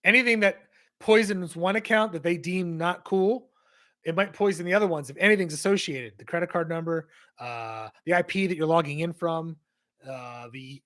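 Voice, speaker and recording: A man hosting a casual livestream Q&A, rambling as he answers viewer questions, his voice strained and hoarse from losing it. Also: fairly high pitch, moderate pace, a bit bright. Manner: rambling, conversational, reads out and answers chat questions.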